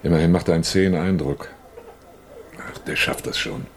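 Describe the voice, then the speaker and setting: An actor playing a friendly, frank hospital doctor in a radio play. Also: male voice, sits low, fairly even intonation, brisk, background noise, lightly acted. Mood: grave, resolved. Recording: noticeable background ambience.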